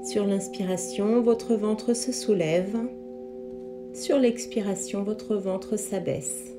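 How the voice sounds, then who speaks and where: A woman leading a guided yoga relaxation as an instructor, speaking calmly in measured, instructive phrases. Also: medium pitch. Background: meditation music with singing bowl tones.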